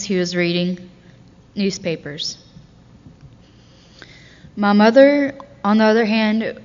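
An eighteen-year-old woman from Ukraine speaking earnestly and a little hesitantly about her childhood at a meeting. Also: mid-range voice. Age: late teens. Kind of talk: personal recovery talk. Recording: muffled recording in a large hall.

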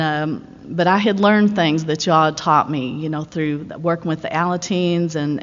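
A middle-aged woman giving a candid, wry personal recovery talk at a meeting. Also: low voice. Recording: muffled recording in a large hall.

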